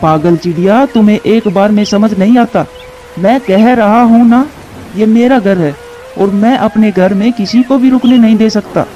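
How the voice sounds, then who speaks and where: A man voicing a gruff, commanding male pigeon householder in a cartoon, on slightly dull audio. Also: high voice, normal speed, dark tone, soft background music, close to an everyday voice. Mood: scolding. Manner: scolding, commanding.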